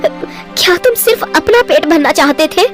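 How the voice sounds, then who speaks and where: A woman playing a caring, chiding mother of two sons in expressive cartoon story style. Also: very high-pitched, unhurried, faint music behind. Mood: reproachful. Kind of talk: children's cartoon story acting.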